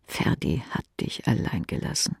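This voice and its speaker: A man reading literary fiction dramatically, with emphatic phrases that drop into breathy near-whispers. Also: normal speed, darkish tone, no echo, studio quality. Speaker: audiobook narrator.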